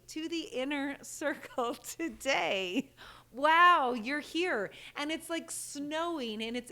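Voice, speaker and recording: A woman hosts an interview podcast in a slightly higher voice. She sounds enthusiastic and engaged, and she laughs easily. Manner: enthusiastic, warm-toned interviewer with bursts of laughter. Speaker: podcast host.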